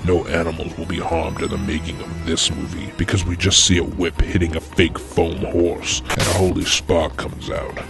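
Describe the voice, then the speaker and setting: A man narrating a scripted comedic adventure story in a deadpan manner. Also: low-pitched, moderate pace, vocal fry, few pauses, music in the background.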